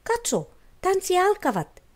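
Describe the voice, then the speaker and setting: A woman's voice: extremely high pitch, wildly swooping pitch, pitched above the performer's own voice, full character voice. A woman voicing an eager, chatty talking flower in a storybook, keenly explaining things. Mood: excited. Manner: keen, explanatory.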